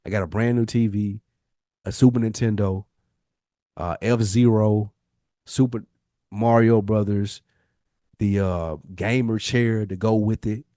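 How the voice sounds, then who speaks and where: A man's voice: lowish voice, steady tone, unhurried, pauses often, mellow, dry recording, clean studio sound. A man in his forties telling personal stories in casual podcast chat, slangy and profane, repeating phrases for emphasis. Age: 40s. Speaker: podcast co-host.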